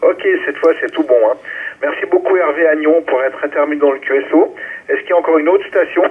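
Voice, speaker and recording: A husky-voiced man acts as controller on an amateur radio net. He hands over and checks call signs in an informal way. The sound is thin and muffled, squeezed through a radio relay. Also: on the high side, quick pace. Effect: ham radio transmission. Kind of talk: running a ham radio round-table, call signs. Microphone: radio transceiver microphone.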